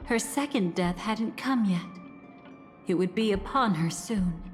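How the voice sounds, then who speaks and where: An actress playing a calm, mysterious woman who guides the listener through past memories in a composed, reflective way. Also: mid-range voice, measured pace, close to an everyday voice. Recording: reverberant game audio with soft music.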